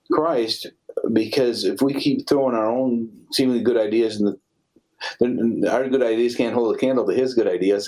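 Male radio guest, mid-range voice, unscripted, halting and rambling delivery. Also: medium pitch, darkish tone, low-quality recording. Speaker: ministry director, radio show guest. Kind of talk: unscripted interview talk.

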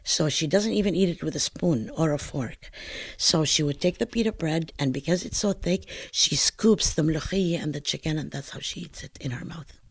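Older palestinian lady being interviewed, northern palestinian accent, arabic first language, kinda halting storytelling, close dry sound. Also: low-pitched, breathy edge, no room sound. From Palestine, later California. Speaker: interview guest, home cook. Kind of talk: conversational storytelling.

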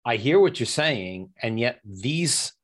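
A man's voice: medium pitch, expressive, slow pace. A man in his fifties interviewing a guest, friendly and a little hesitant, on a remote audio call. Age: 50s. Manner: hesitant, friendly, laughs easily. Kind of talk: conversational interview.

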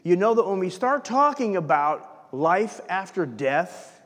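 Pastor guy preaching, kinda high voice, lots of back-and-forth questions, long church echo. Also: unhurried. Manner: animated preaching with rhetorical questions. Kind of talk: sermon. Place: church sanctuary.

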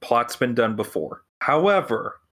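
A man hosting a podcast in a casual film-review chat, blunt and given to pauses. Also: medium pitch, lively intonation, a bit bright. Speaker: podcast host.

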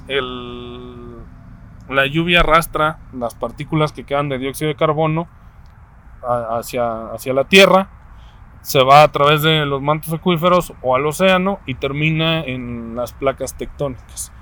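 A man's voice: fairly high pitch, unhurried, sharp tone. Mexican man in his thirties, explaining. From Mexico City, Mexico. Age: 30s. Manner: thoughtful, explains step by step with frequent hesitations. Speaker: interviewee. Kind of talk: casual conversation.

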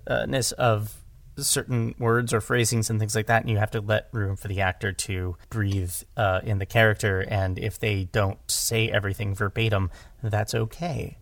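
A man chatting casually about creative work, with animated, self-deprecating asides. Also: fairly low pitch, normal speed, no room sound. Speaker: podcast guest, audio drama writer and director. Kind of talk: casual conversational discussion.

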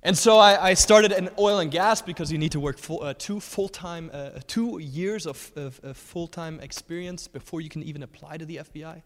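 A man's voice: a little high, normal speed, few pauses. A man in his thirties from Germany, a guest speaker giving an earnest personal testimony at a church, with frequent fillers and restarts. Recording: long hall reverb.

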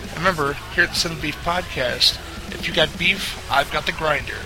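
A man's voice: a little high, normal speed, over music, low-quality recording. A man reading a scripted promo for his podcast in an upbeat announcer style.

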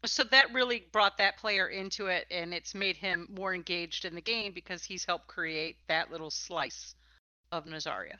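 A woman's voice: fairly low pitch, normal speed, pushed and bright, no echo, muffled recording. A woman hosting a conversational interview about tabletop games, speaking in an emphatic, opinionated way. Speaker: podcast host and interviewer.

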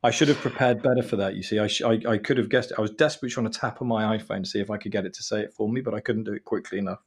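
A man in his forties from England talks on a podcast with a somewhat low, husky voice. He is chatty and self-deprecating. He mixes advice with stories from his own working life. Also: steady tone, rapid-fire. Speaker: guest. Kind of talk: podcast interview.

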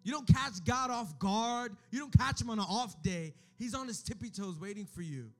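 A young man preaching a sermon, moving from hushed, close talk to loud, shouted calls to the crowd. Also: high-pitched, expressive, moderate pace. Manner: shifts between hushed intimacy and shouted exhortation. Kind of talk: energetic sermon. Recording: faint music behind.

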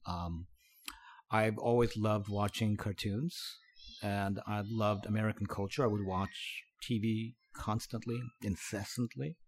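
A middle-aged man from the Philippines, a podcast guest recounting his past in a relaxed, halting way with many fillers and restarts. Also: lowish voice. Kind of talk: conversational storytelling.

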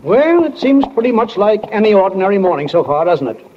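A man's voice: high-pitched, sing-song, brisk. A man playing a folksy, wryly philosophical small-town narrator in 1940s radio style, speaking plainly and straight to the listener. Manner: homespun, plain-spoken, addresses listeners directly. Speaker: narrator of a play. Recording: muffled old 1940s radio recording.

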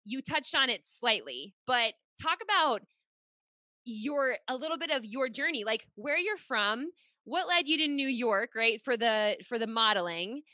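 A woman's voice: on the high side, expressive, a little slow, pushed and bright, no room sound. Woman, podcast host, effusive, casual, dry close sound. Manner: chatty, effusive, lots of "like" fillers.

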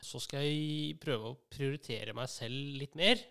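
A man hosting a casual podcast, often pausing mid-sentence as he talks. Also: medium pitch, vocal fry, sharp tone, clean tone. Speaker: podcast host. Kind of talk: casual conversational podcast chat.

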